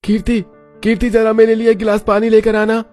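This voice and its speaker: An irritable, demanding young cartoon husband, acted by a man, pitched far up and exclaiming. Faint music plays behind. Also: completely flat delivery, a little fast, a little mellow, lightly acted.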